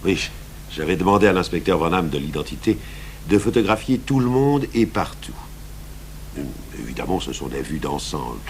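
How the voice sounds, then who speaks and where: A man acting a thoughtful, stubborn police commissioner in a French radio crime drama, speaking deliberately with hesitant pauses. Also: low voice, animated. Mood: measured, persuasive. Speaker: actor playing a police commissioner. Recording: noisy 1980s radio recording with hum.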